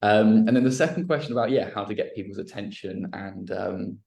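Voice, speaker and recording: Low gravelly man in a roomy echo. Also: a little fast. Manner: relaxed, explanatory, restarts. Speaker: filmmaker on a panel. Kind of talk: panel Q&A answer. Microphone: video call. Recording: roomy echo on a video call.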